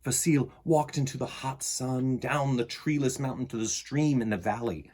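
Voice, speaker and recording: A man in his forties with a slightly husky voice, reading and presenting a children's picture book in an expressive, dramatic way. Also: medium pitch. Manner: animated, dramatic, with deliberate pauses and emphasis. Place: small indoor room in front of bookshelves.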